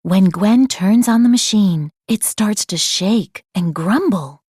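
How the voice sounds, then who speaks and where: Slow, animated woman reading a storybook. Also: mid-range voice, husky, no room sound, studio quality. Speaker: storybook narrator. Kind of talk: expressive read-aloud children's narration.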